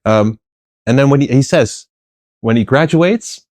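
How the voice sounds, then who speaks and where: A man's voice: mid-range voice, a little slow, a little mellow, dry recording, studio quality. A middle-aged man, explaining at length as an interview guest, thoughtful with frequent fillers and restarts. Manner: thoughtful, many fillers and restarts, laughs lightly. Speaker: guest, plant philosopher. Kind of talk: conversational interview answers.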